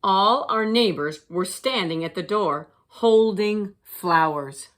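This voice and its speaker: Slow, expressive woman reading a storybook. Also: mid-range voice. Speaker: teacher reading aloud. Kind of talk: children's storybook read-aloud.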